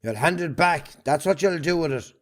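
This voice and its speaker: A man's voice: a little high, animated, moderate pace, close to an everyday voice. An Irish man acting a bitter, bullying middle-aged farmer in a stage drama, blunt and combative. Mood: angry, accusing.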